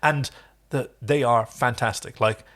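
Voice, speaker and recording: A man's voice: mid-range voice, steady tone, unhurried, fairly bright tone. A man co-hosting a podcast, casually reviewing television episodes and searching for words as he gives his opinions. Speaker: podcast co-host.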